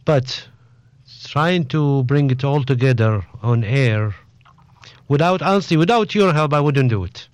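A man interviewing casually as a radio presenter, with halting, phrasing. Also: mid-range voice, lively intonation, dry recording. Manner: halting, non-native phrasing. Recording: muffled, dull, dry close recording.

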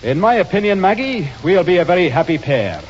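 An actor playing a humourless, ambitious, honourable young Scotsman in a stage drama, speaking in an earnest, stiff, declamatory way with a husky voice. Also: high-pitched, big pitch swings, brisk, exaggerated voice. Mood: stiff, dutiful. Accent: Scottish. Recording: dull, reverberant hall recording.

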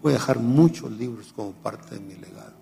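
A man's voice: mid-range voice, lively intonation, brisk, creaky, mellow. A man in his seventies preaching a radio sermon, reflective and storytelling, chuckling at himself. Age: 70s.

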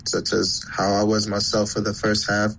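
A fairly low-voiced man with a gravelly edge, speaking as a guest designer. He talks in a flat, measured, earnest way, with faint noise in the background. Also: low-quality recording. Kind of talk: casual interview.

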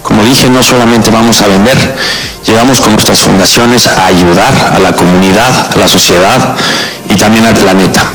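A man speaking as a company spokesman in an interview soundbite, earnest and measured. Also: fairly low pitch, rough recording.

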